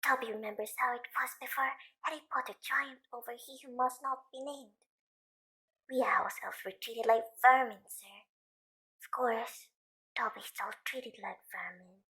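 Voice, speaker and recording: A woman's voice: a little high, steady tone, measured pace, sharp tone, rough recording. A woman playing a small, anxious male house elf in an audio drama, deferential and speaking of himself in the third person. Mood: aggrieved, pleading. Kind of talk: fan audio drama acting.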